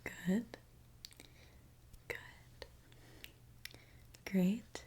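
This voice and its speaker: Female ASMR performer as a gentle, attentive mechanic; whispered, very unhurried delivery. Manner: soft, slow, reassuring, attentive. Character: gentle mechanic repairing a robot client. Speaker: ASMR creator.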